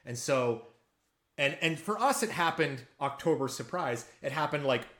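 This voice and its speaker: A man co-hosting a casual political podcast, telling a story in a rambling, animated way with many restarts. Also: a little high, moderate pace, reverberant. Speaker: podcast co-host.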